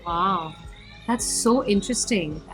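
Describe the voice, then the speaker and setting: A woman's voice: medium pitch, slow, soft background music, poor audio. A woman hosting an interview, effusive and enthusiastic about her guest. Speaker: podcast host.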